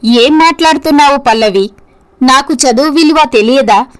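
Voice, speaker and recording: Woman acting a haughty, high-voiced rich mother. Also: moderate pace, lightly acted. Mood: offended, haughty. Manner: haughty, dismissive. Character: haughty, indulgent wealthy mother, elder sister-in-law. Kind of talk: scripted story dialogue.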